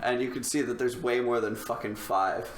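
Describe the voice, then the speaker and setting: A man's voice: mid-range voice, steady tone, moderate pace. A man chatting casually and swearing freely as a co-host on a film review podcast among friends. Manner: informal, sweary. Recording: roomy, noisy room recording.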